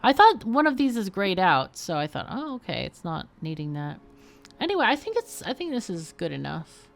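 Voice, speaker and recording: A woman's voice: mid-range voice, big pitch swings, normal speed, gravelly, soft background music. A woman chatting casually and thinking aloud as she plays a game on a livestream. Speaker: streamer and game player. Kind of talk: casual livestream gameplay commentary.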